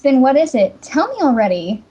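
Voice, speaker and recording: A woman's voice: sits high, big pitch swings, a little fast, warm tone, breathy edge, exaggerated voice. A woman voicing a frank, wary young princess champion in a fan-dubbed fantasy game, blunt and questioning. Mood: blunt, breezy. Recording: dull microphone, faint music behind.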